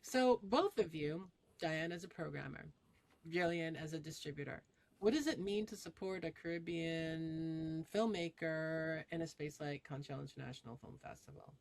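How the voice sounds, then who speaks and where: A woman hosting a video-call chat, asking questions in an expressive, emphatic way. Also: sits low, normal speed, gravelly. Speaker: host.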